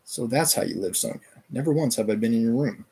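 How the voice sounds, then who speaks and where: A man voicing a dying, delirious mother, hoarse and gasping between words, in a read-aloud novel. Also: medium pitch, lively intonation, fairly quick, warm tone. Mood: despairing.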